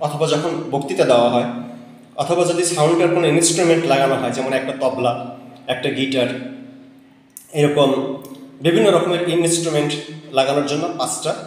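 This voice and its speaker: Very reverberant room, Bangladeshi man, slightly high voice, deliberate product demonstration, short itemized phrases. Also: a little mellow. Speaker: presenter demonstrating electronic equipment. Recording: very echoey, long room reverb.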